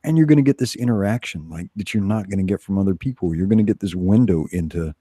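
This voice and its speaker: A man's voice: low-pitched, lively intonation, fast, dark tone, breathy edge, dry recording, studio quality. A man co-hosting a casual livestream, talking emphatically and repeating phrases for weight. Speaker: co-host. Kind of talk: casual livestream pep talk.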